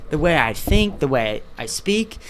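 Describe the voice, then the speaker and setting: A man's voice: on the high side, sing-song, measured pace, noisy background. A seventeen-year-old man hosting a casual podcast, rambling with lots of fillers and swearing. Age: teens. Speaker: podcast host. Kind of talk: casual chat.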